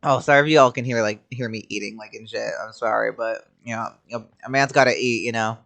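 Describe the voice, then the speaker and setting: A man's voice: medium pitch, fairly even intonation, brisk, vocal fry, fairly bright tone. A man casually commenting on a video game he is playing, with informal, offhand reactions.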